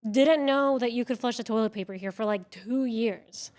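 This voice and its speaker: A woman's voice: a little high, moderate pace, a little mellow. A woman from Venezuela, a native Spanish speaker, chatting casually as a guest on an interview show, with lots of fillers and self-deprecating asides.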